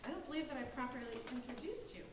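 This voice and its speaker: A woman's voice: sits high, rapid-fire, close to an everyday voice. A woman playing a polite, formal, helpful girl in a staged play reading. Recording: echoey, distant, noisy stage recording.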